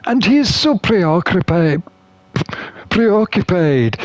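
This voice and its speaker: A man narrates a fantasy visual novel in a storytelling style. His delivery is slow and very melodic, moving freely up and down, with a dry, close sound. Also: high-pitched, no room sound, low-quality recording. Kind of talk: expressive scripted narration and character reading.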